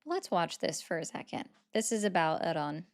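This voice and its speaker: A woman's voice: on the low side, big pitch swings, fairly quick, creaky, slight background noise. A woman hosting a casual political livestream, chatting with viewers and thanking them for gifts.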